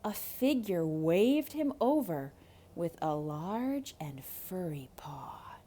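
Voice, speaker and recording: A woman narrating a children's story as a theatrical read-aloud, dramatic and expressive. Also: on the low side, slow, a little hiss or hum.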